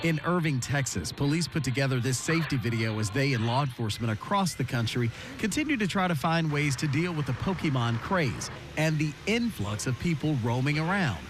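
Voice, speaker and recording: A man's voice: on the high side, expressive, a little fast, few pauses, background noise. A man with an American accent reading scripted television news as an anchor. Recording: music under the voice. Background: news music.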